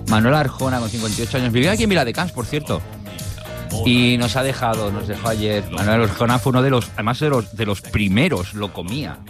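A man's voice: medium pitch, fast talker. A man co-hosting a casual talk show in an informal, rambling way. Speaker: sports talk show co-host. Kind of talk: casual chat. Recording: music playing behind the talk.

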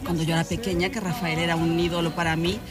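A woman's voice: fairly low pitch, little pitch movement, fast talker, loud music behind the voice. An older woman from Spain, reminiscing as an interview guest in a reflective way, often searching for words. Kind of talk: conversational interview, personal reminiscing.

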